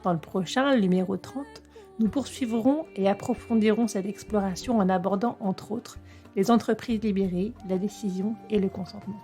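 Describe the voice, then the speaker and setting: A woman hosting a podcast interview, welcoming her guest and laying out the topics in a clearly structured way. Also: mid-range voice, moderate pace, mellow, soft background music. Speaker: podcast host.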